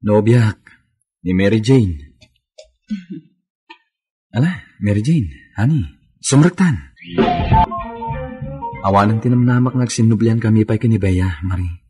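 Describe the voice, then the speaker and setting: A man's voice: fairly low pitch, measured pace, long pauses, warm tone, breathy edge, faint music behind. A man playing a wounded but resolute young suitor in a radio drama, speaking earnestly. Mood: hurt. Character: heartbroken young suitor, resolute about a new love.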